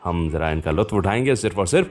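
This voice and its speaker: A man's voice: sits low, expressive, fast talker, a little mellow. A man with an Indian accent hosting a radio show, telling anecdotes in a chatty, conversational way and speaking directly to listeners. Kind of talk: conversational storytelling presentation of film music. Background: background music.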